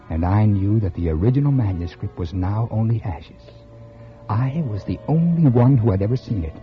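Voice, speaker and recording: An actor playing a smug, scheming mystery writer, with an American accent and polished, theatrical 1950s radio delivery. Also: male voice, on the low side, lightly acted. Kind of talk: 1950s radio acting, first-person narration. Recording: dull old radio recording.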